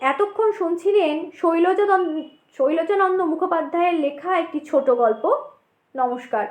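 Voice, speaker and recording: A woman narrates a horror audiobook in a very high-pitched voice. Her delivery is dramatic and suspenseful, with deliberate pauses. The sound is noticeably echoey and roomy. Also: darkish tone. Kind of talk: dramatic scripted ghost-story narration. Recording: roomy echo.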